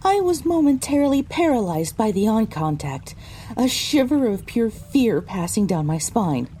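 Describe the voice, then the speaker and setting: A female pony voiced by a woman tells her own story as scripted narration. The delivery is measured and descriptive, in a mellow, slightly high voice. Quiet ambient sound runs underneath. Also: very animated, a little hiss or hum.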